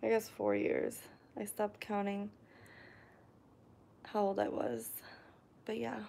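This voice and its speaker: A young woman from New York chats unhurriedly to her viewers from her living room, filling pauses with ums. She is recorded by a camera on a tripod, and her pitch sits in a middle range. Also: little pitch movement, vocal fry, dark tone, a little hiss or hum. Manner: chatty, hesitant, frequent ums and laughs. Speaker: vlogger reading live chat comments. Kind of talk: casual live stream chat.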